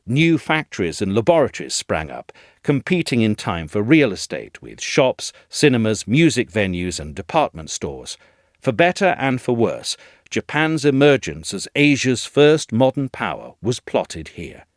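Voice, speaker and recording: A man reading a scripted essay as narrator, in a measured, articulate storytelling delivery, recorded close up. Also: on the low side, wildly swooping pitch, no echo.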